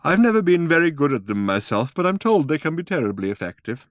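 A man voicing a mild, polite old male planet-builder who talks slowly and wistfully, raised above his own voice, recorded dry and close. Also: on the high side, sing-song, no echo, pitched above the performer's own voice. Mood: stern. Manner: slow and drawn out, mild and courteous.

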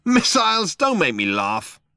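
A brash male space adventurer from a comic science-fiction tale, performed by a man reading aloud. He pitches high and swings widely, loud and cocky. He sounds impatient and breezily dismissive, in a very dry recording. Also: wildly swooping pitch, measured pace, gravelly, clear, resonant tone, no room sound, heavily acted.

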